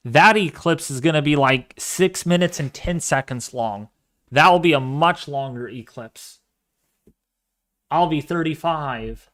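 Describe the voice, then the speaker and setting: Slow, excitable young man, smooth voice. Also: a little high. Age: 20s. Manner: excitable, thinks aloud, frequent exclamations. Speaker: livestream host. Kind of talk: casual livestream demo of astronomy software.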